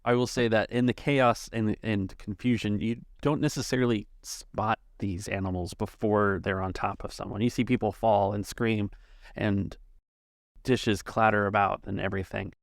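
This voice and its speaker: A low-voiced man with a gravelly creak, recorded close and dry. He acts as game master, narrating scenes and rulings in a halting, thinking-aloud manner. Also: steady tone, dry recording. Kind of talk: narrating a tabletop roleplaying game.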